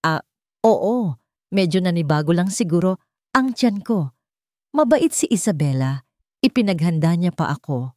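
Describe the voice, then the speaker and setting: Casting: timid, good-hearted expectant young woman, acted by a female narrator, hesitant, mellow, audio love story. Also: fairly low pitch, very animated, measured pace, long pauses, no echo, studio quality. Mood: timid, startled. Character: meek, simple young mother-to-be, hurt but determined.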